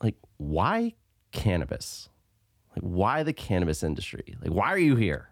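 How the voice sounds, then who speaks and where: A man's voice: deep voice, wildly swooping pitch, unhurried, creaky, dry recording, studio quality. A man hosting an interview in a jokey, easygoing way. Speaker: podcast host.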